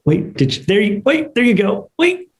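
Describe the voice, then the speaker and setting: Higher-pitched man moderating, halting delivery. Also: big pitch swings, gravelly. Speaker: moderator of an actors' panel. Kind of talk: casual question-and-answer moderating. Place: online video call.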